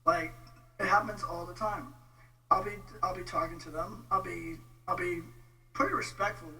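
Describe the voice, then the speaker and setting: A Texan man with a fairly high, very sharp and bright voice rants casually on a livestream. His delivery is halting, informal and exasperated. He sounds a little away from the mic, with faint noise and hum behind him. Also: creaky, recorded from a distance, soft background music, poor audio. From Texas, United States. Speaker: vlogger, religious debate commentator. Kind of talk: casual livestream rant replying to viewer comments.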